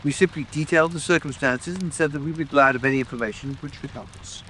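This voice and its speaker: A man's voice: a little high, quick pace, slight background noise. A man playing a proud, easily offended Victorian police detective in a dramatic audiobook, matter-of-fact and defensive. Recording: steady rain ambience over voice. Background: rain.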